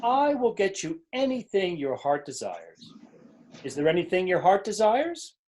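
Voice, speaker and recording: An amateur reader playing an imperious storybook king who doles out orders with emphatic weight. The voice swings widely in pitch, impatient yet fatherly, as in a staged story reading. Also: very animated, measured pace, a little mellow, slight background noise. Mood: tender, concerned. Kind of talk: readers theater story acting.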